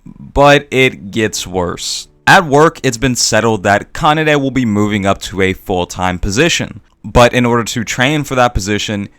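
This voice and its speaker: A man narrating a scripted video essay in a reflective, measured way with deliberate pauses. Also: mid-range voice, animated, a bit bright, smooth, no echo. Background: background music.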